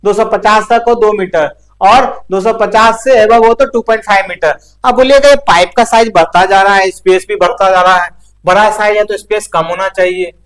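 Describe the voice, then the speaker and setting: A man's voice: sits high, steady tone, normal speed, a bit bright, poor audio. A male instructor talks through practical technical material in an informal way. He explains things step by step and often adds short check-in tags to keep the learner following.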